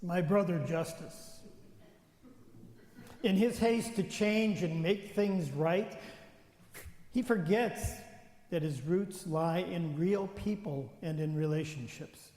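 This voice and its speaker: Man reading as Mercy, slow-spoken gentle brother in echoey hall. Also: high-pitched. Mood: earnest, pleading. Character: Mercy, gentle steadfast brother, loving defender of forgiveness. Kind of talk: dramatized church reading. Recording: echoey hall, long reverb.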